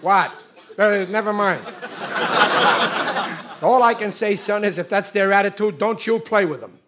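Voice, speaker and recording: An actor playing a blundering, soft-hearted middle-aged American husband and father in an old radio comedy, folksy and blustering. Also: high-pitched, a little slow. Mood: indignant. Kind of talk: 1940s radio comedy acting. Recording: noisy old radio broadcast.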